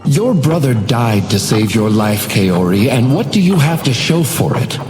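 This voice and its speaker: A genteel video game doorman with silky menace, his lines swooping theatrically up and down in a medium-pitched voice. He taunts his guests in measured monologues, echoing over clearly audible music. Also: very animated. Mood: cutting. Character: sinister, genteel hotel doorman tormenting guests.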